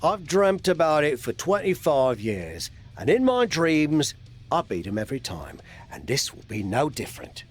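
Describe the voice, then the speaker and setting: Lively, wry veteran wrestler mentor. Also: male voice, a little high, normal speed, faint music behind. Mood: determined, persuading. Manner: frank, coaxing, wry. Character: aging veteran wrestler mentor, proud, crafty, teasing. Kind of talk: video game cutscene acting.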